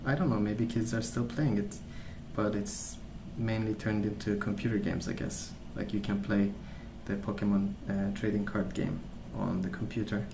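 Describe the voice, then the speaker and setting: Soft-spoken low-voiced young man, echoey ASMR. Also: fairly even intonation. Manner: gentle, unhurried, musing aloud. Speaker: ASMR video creator. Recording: echoey room, clear background noise.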